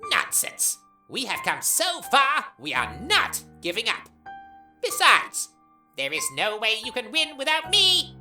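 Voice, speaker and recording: Woman playing a haughty, piercingly bright monster. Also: a little high, huge pitch swings, takes it slowly, soft background music, exaggerated voice. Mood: boastful, rousing. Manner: pompous, proud, declamatory. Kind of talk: amateur audio drama acting.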